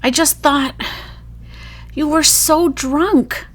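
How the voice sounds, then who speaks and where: A woman's voice: high-pitched, fairly even intonation, very slow, voice pushed up. A woman playing a grieving, guilt-ridden drunken woman who pleads in a strained, emotional voice in a horror story. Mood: desperate, defensive.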